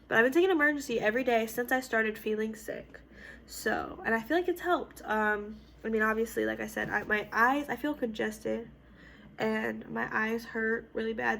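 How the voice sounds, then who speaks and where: A young woman in her twenties talking casually and rambling at home, slightly hoarse from a cold. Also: on the high side, rough recording. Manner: rambling, chatty, self-correcting, laughs often. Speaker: vlogger. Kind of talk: casual chat. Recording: clear background noise.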